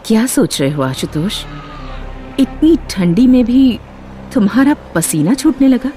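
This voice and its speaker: An actress playing a mysterious, fearless young woman passenger in a Hindi animated horror story, with a playful, teasing manner. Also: on the high side, unhurried. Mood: unruffled, probing. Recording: music under the dialogue. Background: music.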